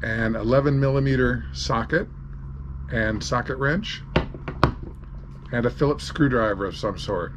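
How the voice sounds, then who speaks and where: Background noise sits under the voice of a man in his sixties with a mid-pitched delivery. He hosts an informal sailing vlog and explains things plainly, one step at a time. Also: unhurried, pauses often. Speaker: sailing vlogger and host. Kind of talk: informal narrated travel tour and how-to.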